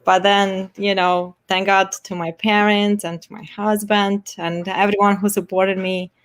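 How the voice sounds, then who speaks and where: A woman's voice: medium pitch, flat delivery, a little slow. A woman in her forties from Moldova, a native Romanian speaker with a Moldovan accent, chatting earnestly as a game show contestant over a video call. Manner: chatty, earnest, laughs often. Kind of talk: casual self-introduction.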